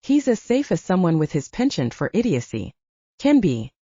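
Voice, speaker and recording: Audiobook role: confident young man, teasing and courtly, performed by a woman. Mellow tone, slightly lo-fi recording. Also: on the low side, big pitch swings, moderate pace, breathy edge, no echo. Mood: measured, earnest. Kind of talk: audiobook character dialogue.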